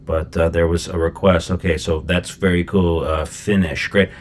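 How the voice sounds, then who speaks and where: Deep-voiced man teaching casually. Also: moderate pace, slight background noise. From Chicago. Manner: relaxed, conversational, with frequent fillers and check-ins. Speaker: language teacher. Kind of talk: casual explanatory lesson.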